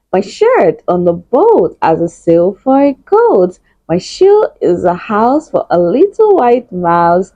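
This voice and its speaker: A woman's voice: mid-range voice, mellow, no echo, dull audio, exaggerated voice. Woman voicing a kind, cheerful, sing-song giant.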